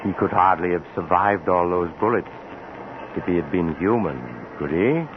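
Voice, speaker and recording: A mysterious traveler, acted by a man hosting an old radio suspense play. The voice is very low, genial yet sinister, and slyly conversational. The sound is tinny and clearly noisy. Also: moderate pace, lightly acted. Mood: slyly eerie. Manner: insinuating, conversational. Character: sinister, genial male mysterious traveler telling eerie tales. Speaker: story host. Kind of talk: 1940s radio acting.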